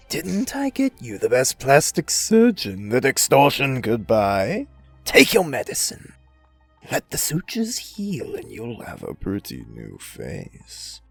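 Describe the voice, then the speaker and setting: A man voicing a gleeful, mocking criminal mastermind in a comic dub, with a raspy, whispery, theatrical delivery. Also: medium pitch, exaggerated voice. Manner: theatrical, drawn-out, taunting. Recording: music behind the voice.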